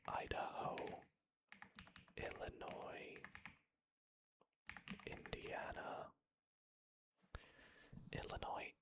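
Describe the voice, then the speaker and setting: Slow whispering ASMR voice over typing. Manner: soft unvoiced whisper, slow and hesitant; muttering while typing, self-correcting. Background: keyboard typing.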